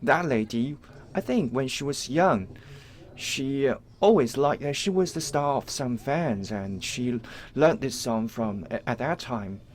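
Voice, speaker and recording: A man with a Chinese accent interprets live event coverage, speaking in halting, piecemeal phrases with repeated false starts. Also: medium pitch, expressive.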